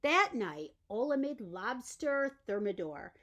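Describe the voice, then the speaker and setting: Lively high-voiced woman reading a story. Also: slow, slight background noise. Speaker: storyteller reading a picture book. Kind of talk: expressive bedtime story reading.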